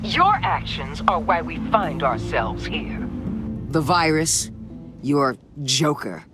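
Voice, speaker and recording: An actor playing a cold, commanding government antagonist in a video game, deliberate and menacing. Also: male voice, fairly high pitch, animated. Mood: cold threatening. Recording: loud music behind.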